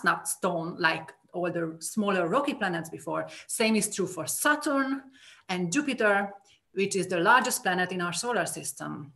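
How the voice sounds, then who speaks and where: A woman's voice: medium pitch, vocal fry, fairly bright tone. A woman in her thirties from Hungary, an astrophysicist giving a friendly, explanatory science talk over a video call from home. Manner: friendly, explanatory, points things out on slides. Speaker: astrophysicist guest speaker. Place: home room.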